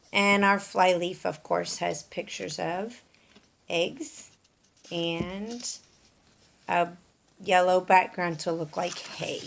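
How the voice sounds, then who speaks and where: A woman's voice: fairly low pitch, creaky, slight background noise. A woman reading a picture book aloud for young children, explaining things in an instructive way as a storyteller. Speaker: storyteller, teacher.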